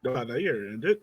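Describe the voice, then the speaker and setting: A man's voice: medium pitch, breathy edge. A man hosting a casual sports podcast, talking conversationally and putting questions to his co-hosts. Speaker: podcast host.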